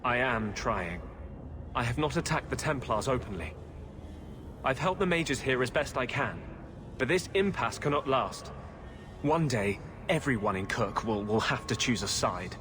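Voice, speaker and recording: A man's voice: mid-range voice, lively intonation, a little slow, noisy background, natural voice. A man playing a brooding, bitter rebel mage healer in a video game, earnest and weary.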